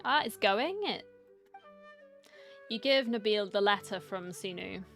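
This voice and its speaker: A woman's voice: medium pitch, measured pace, fairly bright tone, soft background music. A woman giving casual playthrough commentary as a streamer, with chatty asides and game text read aloud. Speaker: gaming streamer.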